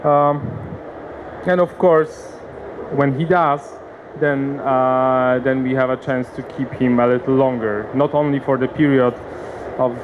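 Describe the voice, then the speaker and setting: Polish-accented guy giving a conference talk, slow, lots of uhs, noisy hall with aircon hum. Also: medium pitch, narrow, tinny audio. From Poland. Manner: hesitant, many uhs and restarts. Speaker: conference speaker. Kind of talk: seminar talk.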